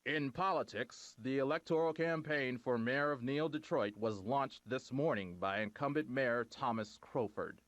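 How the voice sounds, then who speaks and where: A man's voice: mid-range voice, moderate pace, hardly any pauses, fairly bright tone, no room sound, close to an everyday voice. Man doing a stiff tv newsreader, dry dull sound. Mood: formal. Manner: crisp formal news delivery. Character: formal male TV news anchor. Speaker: news anchor.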